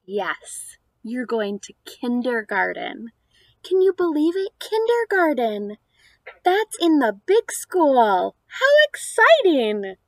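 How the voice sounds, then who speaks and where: High, animated woman teaching young children. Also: dry recording. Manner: exaggerated sing-song child-directed delivery with questions. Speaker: preschool teacher. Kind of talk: read-aloud for young children. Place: outdoors on a house porch.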